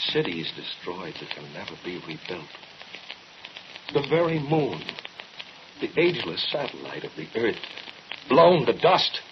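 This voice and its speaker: Brief: relentless, grave accuser for vintage radio drama. Lowish voice, deliberate and probing, sweeping intonation, old recording. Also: male voice, highs cut off, background noise. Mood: accusing, impassioned. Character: grave, accusing man challenging a scientist, relentless moral questioner. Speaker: radio actor.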